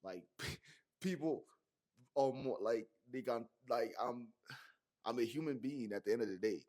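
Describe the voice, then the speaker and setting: A young man from Nigeria with a Yoruba accent, telling a personal story to camera in a slangy, animated way with many fillers. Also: mid-range voice, a little slow. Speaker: vlogger. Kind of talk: casual monologue to camera.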